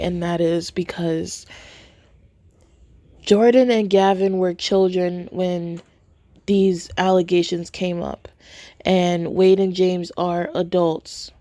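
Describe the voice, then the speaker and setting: Hoarse woman podcaster, slow delivery. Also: fairly low pitch, mellow, no echo. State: hoarse from a cold, voice nearly gone. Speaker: podcast host. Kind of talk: casual spoken commentary to listeners. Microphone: phone held close to mouth.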